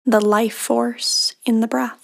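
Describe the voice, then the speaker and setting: Very slow, hushed woman guiding meditation. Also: fairly high pitch, flat delivery. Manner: hushed, breathy, soothing delivery with long pauses. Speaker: meditation guide, podcast host. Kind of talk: guided meditation narration.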